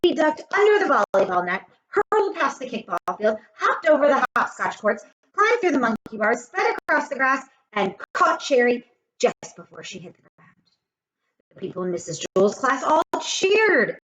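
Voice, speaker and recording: Animated woman reading to children, roomy sound. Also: sits high, normal speed. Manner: expressive, addresses children directly. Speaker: teacher reading aloud to children. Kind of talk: animated children's story read-aloud. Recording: roomy echo with faint noise.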